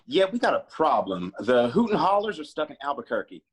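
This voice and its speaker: Man playing a husky, sassy cowboy sidekick. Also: fairly high pitch, sing-song, brisk. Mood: sardonic. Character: sassy, teasing male honky-tonk cowboy sidekick. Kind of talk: screenplay table read.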